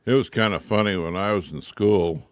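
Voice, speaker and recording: A man's voice: low-pitched, moderate pace, no room sound. An older man from rural Washington state in a casual radio chat, talking in a folksy, plainspoken way with short answers. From rural Washington state, United States. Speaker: radio show participant. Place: radio studio.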